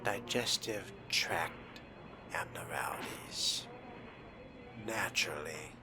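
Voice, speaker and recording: A man's voice: deep voice, slow pace, background noise. A man narrating a role-playing game in character, with a pompous, disdainful manner and formal wording. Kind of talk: in-character roleplay commentary.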